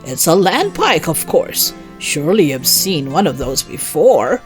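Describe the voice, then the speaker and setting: A woman voicing a calm, crafty, gently scornful peasant husband in a storybook, speaking in a casual, matter-of-fact way. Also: low-pitched, measured pace, faint music behind. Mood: mildly scornful.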